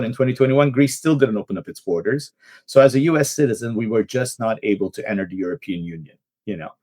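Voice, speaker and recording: A man's voice: fairly low pitch, no room sound. A man who works as an immigration attorney, telling anecdotes as a podcast guest with frequent "uh" fillers. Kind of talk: casual podcast interview.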